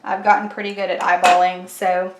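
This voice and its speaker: A woman's voice: lowish voice, little pitch movement, brisk, heavy background noise. A woman hosting a craft tutorial, chatty and step by step, fighting a cold and losing her voice. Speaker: craft channel host. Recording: echoey room, faint kitchen clatter.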